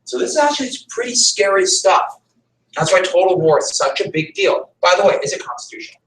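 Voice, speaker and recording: A man's voice: high-pitched, expressive, a little fast, fairly bright tone. A male history teacher giving a conversational classroom lecture, repeating key points for emphasis. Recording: echoey room, mic far off.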